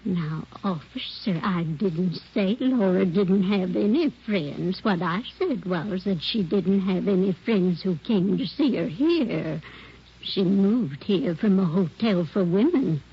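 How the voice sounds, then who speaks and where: A muffled 1940s radio recording carries a prim, defensive woman. Her voice is husky and slow, and she answers police with curt precision. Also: medium pitch, expressive, exaggerated voice. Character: prim, firm woman neighbour questioned by police.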